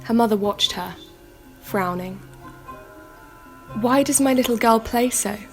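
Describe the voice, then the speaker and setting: An actress playing a pensive young daughter in a radio drama, telling dark fairy tales in a measured, lyrical way. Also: female voice, on the high side, soft background music. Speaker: actor in a radio play. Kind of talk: radio drama acting, storybook narration.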